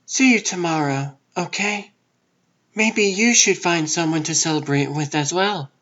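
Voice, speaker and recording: A man's voice: fairly high pitch, a little slow, pushed and bright, highs cut off. A man voicing a guarded but friendly woman reporter in a comic book dub.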